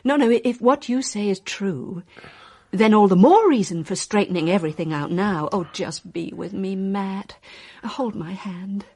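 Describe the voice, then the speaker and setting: An actress playing a wounded, fragile film star under suspicion in a radio mystery, halting and dramatic. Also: female voice, mid-range voice, a little mellow, slightly rough. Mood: anxious, affectionate. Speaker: actor.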